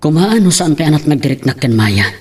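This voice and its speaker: A man's voice: a little high, very animated, brisk, a little hiss or hum. A man playing a troubled grown son caught in a love tangle in an audio drama, speaking earnestly and conversationally. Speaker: voice actor in a drama.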